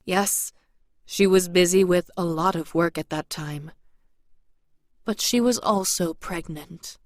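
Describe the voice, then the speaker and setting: A woman's voice: on the low side, takes it slowly, no echo, studio quality. A woman playing an awkward, apologetic messenger in an audio drama, explaining things politely. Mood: explanatory. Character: awkward, apologetic woman bearing painful family news.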